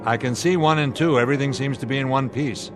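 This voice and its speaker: An actor playing a steady, commanding airline captain with a terse, authoritative manner in a radio drama. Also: male voice, medium pitch, fast, over music, natural voice.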